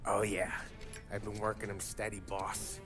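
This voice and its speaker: An actor playing a brash, excitable American gangster henchman in a game cutscene, talking in cocky street slang. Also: on the low side, moderate pace, close to an everyday voice. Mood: eager, persuasive. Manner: slangy, cocky, animated street-tough talk. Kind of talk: video game cutscene acting. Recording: music behind the voice.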